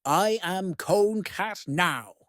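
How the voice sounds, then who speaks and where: Melodramatic, disgruntled tomcat, as a storyteller voices him, slow-spoken. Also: mid-range voice, expressive, fairly bright tone, no room sound, studio quality. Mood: gloomy. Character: disgruntled, melodramatic male pet cat in a cone.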